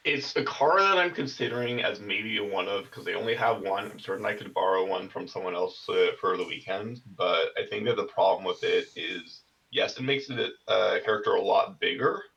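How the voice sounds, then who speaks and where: A man discussing game strategy casually in a measured, explanatory way, as a player seeking advice over a voice call. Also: on the low side, creaky, a bit bright. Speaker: card game player getting deck advice. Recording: dull, distant voice-call microphone.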